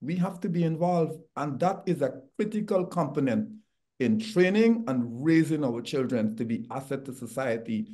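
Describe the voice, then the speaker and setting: A man's voice: mid-range voice, expressive, moderate pace, warm tone. A middle-aged man with a Guyanese accent, speaking earnestly as a panelist on a video call. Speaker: talk show panelist.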